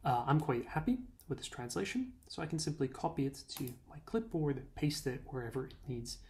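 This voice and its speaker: Young czech-native guy demoing an app on a video call, steady step by step. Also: fairly high pitch, a little fast. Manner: clear, step-by-step explaining. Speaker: product demo presenter. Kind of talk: software demo walkthrough.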